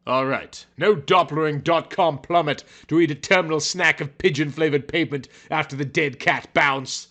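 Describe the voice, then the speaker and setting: Bright, theatrical male narrator. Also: fairly high pitch, normal speed. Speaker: narrator reading a fiction chapter. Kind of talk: dramatic, overwrought scripted narration.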